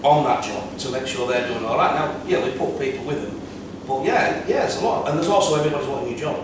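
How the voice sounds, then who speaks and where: A middle-aged man with a Yorkshire accent, chatting as a podcast guest, telling quick anecdotes about his years on club doors and running events security. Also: mid-range voice. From Yorkshire, England. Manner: quick anecdotal storytelling with many yeahs. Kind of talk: casual podcast chat. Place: bar lounge, seated on a sofa. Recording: echoey room from far off, background hum.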